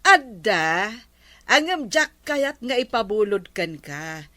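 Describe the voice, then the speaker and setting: A woman with an Ilocano accent playing a grudging neighbour who won't lend her things, in a pointed, drawn-out radio drama style. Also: medium pitch, very animated, pushed and bright, no room sound, heavily acted. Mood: refusing.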